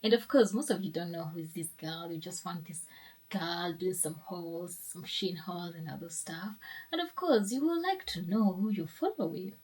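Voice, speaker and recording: A woman's voice: on the low side, moderate pace, a little mellow, husky, rough recording. A young woman, chatting casually in a sit-down vlog, upbeat and rambling with restarts. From Kenya. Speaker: lifestyle vlogger.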